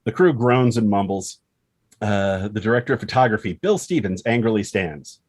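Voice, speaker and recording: A man's voice: on the low side, lively intonation, normal speed, a little mellow. A man reading stage directions as scripted narration for a screenplay table read.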